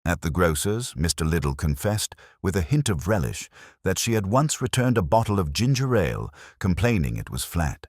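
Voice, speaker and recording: A man tells a cozy mystery with wry, unhurried storytelling. His voice is very deep, yet his pitch rises and falls with lively expression. The recording is dry and close, with no room sound. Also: no room sound. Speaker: narrator. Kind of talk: scripted mystery narration.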